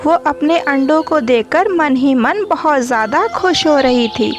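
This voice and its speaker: A woman's voice: high-pitched, measured pace. A woman narrating a scripted children's bird story as the storyteller. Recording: music behind the voice.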